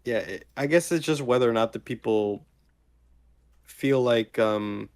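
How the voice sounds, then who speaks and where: A man co-hosting a casual podcast, speaking in a halting way as he searches for words. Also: medium pitch, darkish tone. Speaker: podcast co-host.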